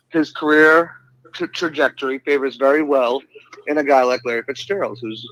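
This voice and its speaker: A man's voice: mid-range voice, a bit bright, poor audio. A man with a Boston accent, giving casual sports commentary as a guest analyst.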